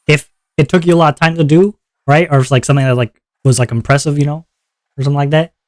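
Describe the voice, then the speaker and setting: A man's voice: quick pace, dry recording, studio quality. A man streaming live, explaining his event's rules to chat in a casual, upbeat way and checking in with viewers often. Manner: relaxed lower voice after a viewer redeem.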